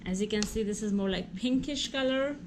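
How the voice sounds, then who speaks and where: A woman's voice: mid-range voice, fairly quick, a little mellow. A woman hosting a casual unboxing video, talking through each step aloud in an exclamatory way, on a noisy recording. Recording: clearly noisy home recording with clicks.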